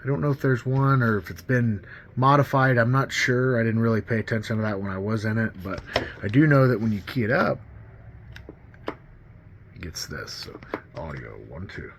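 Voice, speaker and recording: A man's voice: medium pitch, animated, normal speed, noisy background. A man giving a casual, informal hands-on demonstration of gear, pointing things out step by step. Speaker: CB radio enthusiast demonstrating gear. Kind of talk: casual hands-on product demo. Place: indoors at a radio bench.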